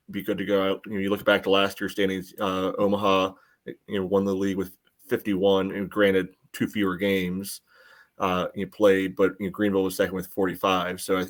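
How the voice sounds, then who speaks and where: A man giving casual sports analysis as a podcast panelist, hedging and reasoning things through in a measured way. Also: low-pitched.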